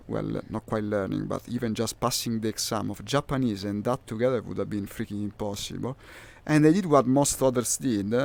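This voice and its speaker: Guy in his 30s, bit of fry in the voice, picks his words slow while gaming with a friend. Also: mid-range voice, a little mellow. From Italy. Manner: measured, searching for words, laughs easily. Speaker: co-player on a game stream. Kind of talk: casual chat while gaming.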